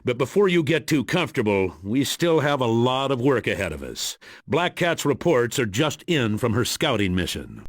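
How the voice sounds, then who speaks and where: A man's voice: medium pitch, sing-song, moderate pace, few pauses, no room sound, clean studio sound. A man playing a stern, businesslike spy commander giving video game mission briefings in an authoritative, matter-of-fact way.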